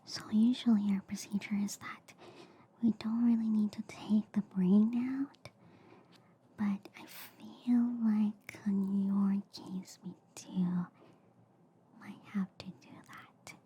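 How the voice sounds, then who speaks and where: Slow, very dark, whispering ASMR woman. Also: mid-range voice, fairly even intonation, a little hiss or hum. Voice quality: soft, breathy, mostly whispered. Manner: hesitant, reassuring, playful. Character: reassuring, slightly inept alien girl surgeon. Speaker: ASMR performer playing an alien doctor. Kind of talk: soft-spoken whispered ASMR roleplay. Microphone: close ASMR microphone.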